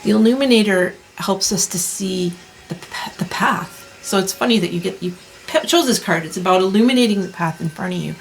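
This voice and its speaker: A woman in her thirties giving a tarot reading in a soft, reassuring way, clearing her throat as she loses her voice. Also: mid-range voice, soft background music, rough recording. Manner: soft, slow, reassuring delivery with many restarts. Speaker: tarot reader. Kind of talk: pick-a-card tarot reading.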